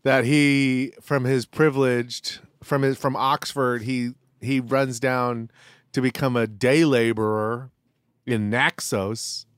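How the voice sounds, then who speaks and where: A man's voice: mid-range voice, steady tone, takes it slowly, clean tone, no room sound, studio quality. A man co-hosting a podcast in casual chat, sarcastic and swearing freely as he riffs on a reality show. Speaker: podcast co-host.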